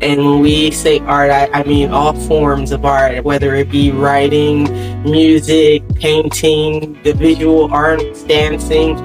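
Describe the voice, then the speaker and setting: A man hosts a relaxed podcast conversation, easygoing and quick to agree, often breaking into laughter. His voice sits a little higher than usual for a man, with faint music in the background. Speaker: podcast host. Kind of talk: casual podcast chat.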